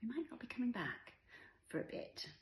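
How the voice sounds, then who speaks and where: A woman voicing a playful, conspiratorial and gently decisive young mother in a story reading. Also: a little high, sing-song, normal speed, reverberant, pitched above the performer's own voice. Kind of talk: audiobook character voice.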